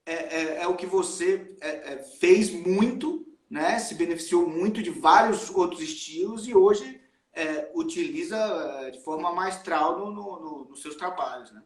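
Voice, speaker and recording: Brazilian guy hosting a music livestream, high voice, slangy casual chat, roomy echo. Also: unhurried. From Salvador, Brazil. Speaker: host of a music live stream. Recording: roomy, echoey live stream sound.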